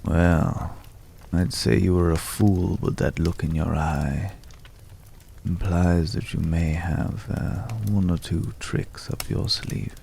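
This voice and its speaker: Very gravelly, deep, slow-spoken courteous ex-hunter, acted by a man. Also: steady tone, slight background noise, heavily acted. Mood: intrigued, wistful. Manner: measured, hushed, hesitant pauses, suavely polite. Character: courteous, world-weary former vampire hunter in a dark forest. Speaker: ASMR roleplay voice performer. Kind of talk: slow, intimate ASMR roleplay acting.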